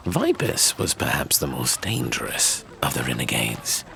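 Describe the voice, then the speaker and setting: A man playing a measured, knowing storyteller of dark fantasy lore, speaking with deliberate dramatic emphasis. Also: rumbling low, wildly swooping pitch, gravelly, noisy background, full character voice. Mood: grave storytelling.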